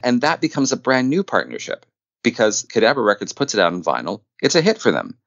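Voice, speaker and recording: A man's voice: mid-range voice, huge pitch swings, brisk, dry recording, lo-fi sound. A middle-aged man telling stories as a podcast guest in an enthusiastic, self-deprecating, conversational way. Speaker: podcast guest, audio drama producer and narrator. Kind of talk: conversational interview storytelling.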